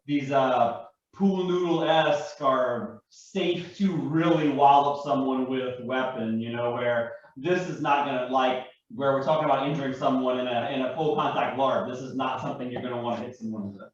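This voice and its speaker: A man with a mid-range voice chats and explains in a wordy, halting manner. He sounds far from the mic in a heavily echoing room, with a dull tone to the recording. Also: mid-range voice. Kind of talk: casual explanatory chat.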